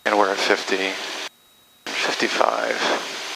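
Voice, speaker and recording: A man's voice: on the low side, little pitch movement, takes it slowly, gravelly. A middle-aged American man speaking as a pilot over a headset inside a small plane. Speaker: private pilot and vlogger. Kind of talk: aviation radio calls and cockpit chat. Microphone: aviation headset boom mic. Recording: noisy cockpit headset intercom.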